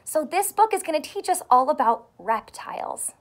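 A woman in her thirties, a primary school teacher reading aloud to young children in an expressive, carefully enunciated way and asking them questions. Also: sits high, moderate pace. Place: small home room with sofa and soft toys.

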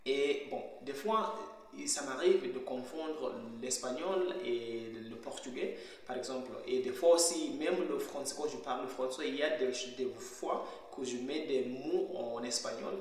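A young man from Zimbabwe whose first language is Shona, talking casually to his laptop camera in a bare kitchen, pausing often to search for words. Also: medium pitch. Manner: hesitant, searching for words with frequent pauses. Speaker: language learner vlogger. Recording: very echoey bare room with faint hum.

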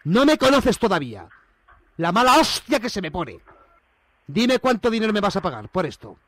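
A man's voice: sits high, very animated, moderate pace, fairly bright tone, no room sound, voice pushed up, full character voice. A man with a Spanish accent from Spain playing an indignant, bullying company manager on a prank phone call, speaking in formal, hesitant phrases or blunt, coarse threats. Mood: angry, threatening.

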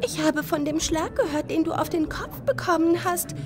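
A woman's voice: very high voice, moderate pace, over music. A woman playing a friendly, gushing film actress in a children's audio drama, polite and solicitous.

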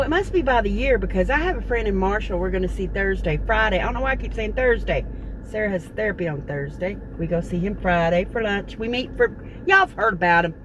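A middle-aged woman, chatting casually and rambling with lots of fillers to her phone camera in a parked car. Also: medium pitch. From Texas. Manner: chatty, meandering, folksy with many fillers. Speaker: vlogger. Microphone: phone camera at close range. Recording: car interior with noticeable background noise.

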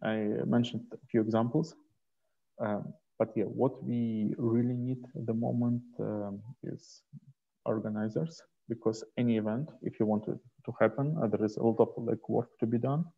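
A man presenting slides informally on a video call, speaking in a hesitant, halting way into a headset. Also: medium pitch, fairly even intonation, creaky, breathy edge. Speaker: host and presenter of an online community onboarding session. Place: small indoor room, video call. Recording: dull, muffled headset call audio.

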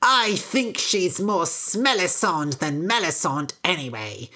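A woman voicing a silly, pushy old mother who is excitable and orders her son about in a shrill, bossy way. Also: slow pace, heavily acted.

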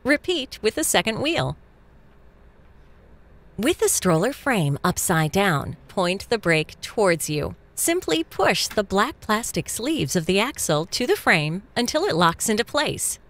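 A woman's voice: mid-range voice, sing-song, a little slow, fairly bright tone. A woman reading scripted instructional narration for a product video. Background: music.

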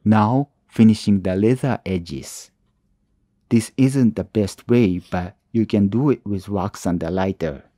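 A man narrating his own woodworking tutorial as a calm voice-over, with plain, slightly non-native phrasing. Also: lowish voice, a little slow, no room sound, studio quality. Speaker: woodworking channel host.

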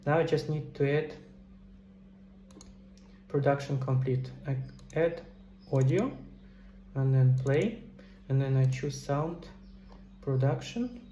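A man's voice: medium pitch, slow, pauses often, dark tone, highs cut off. A middle-aged man casually explaining game development steps in a screen-recorded tutorial, talking into a headset indoors. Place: small indoor room at a computer. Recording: roomy echo, faint background noise.

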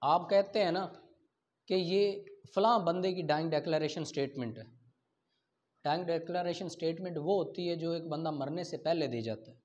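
A man's voice: on the high side, normal speed, a little mellow. A Pakistani man in his thirties lecturing didactically as a teacher in a classroom, posing questions and answering them himself. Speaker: law teacher. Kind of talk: classroom lecture for exam preparation. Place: small indoor classroom at a whiteboard. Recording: dull lo-fi camera sound.

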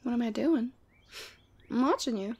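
A woman's voice: a little high, normal speed, husky. A woman playing a sweet, teasing, clingy demon girlfriend in a casual ASMR roleplay. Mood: teasing, caring. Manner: casual, teasing, playfully exasperated. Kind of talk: ASMR roleplay audio drama.

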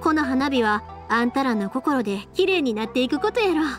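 A woman voicing a cheerful, warm-hearted young fireworks maker in an anime-style game, chatting expressively with a Kansai accent. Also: high-pitched, expressive, a little fast, music in the background. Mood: tender, warm. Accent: Kansai Japanese.

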